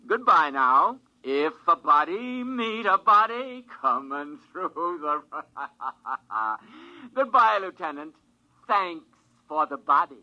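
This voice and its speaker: A man's voice: fairly high pitch, sing-song, slow, pauses often, dull audio. A man acting a gloating old scientist in a stolen body, mocking and drawling over a telephone in 1950s radio style. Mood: smug, mocking. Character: gloating elderly scientist inhabiting a male corporal's body.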